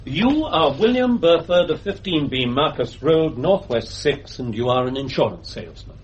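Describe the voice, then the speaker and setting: An actor playing a polite, persistent English defence barrister in a radio courtroom drama, formal and measured. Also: male voice, a little high, lively intonation, close to an everyday voice. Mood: probing. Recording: dull, noisy archive radio recording.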